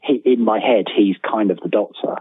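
A man's voice: fairly low pitch, a little fast, slightly rough. A man talking casually as an interview guest, rambling and self-deprecating, often restarting his sentences. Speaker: interview guest, freelance writer. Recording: tinny phone-like line with room echo.

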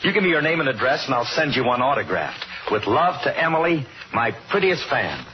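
A man's voice: medium pitch, very animated, a little fast, noisy background, lightly acted. A man playing an anxious bandleader in a 1950s radio drama, with a folksy, colloquial way of talking. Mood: kindly. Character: anxious male bandleader and clarinetist recounting his trouble. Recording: dull old radio recording, music behind.

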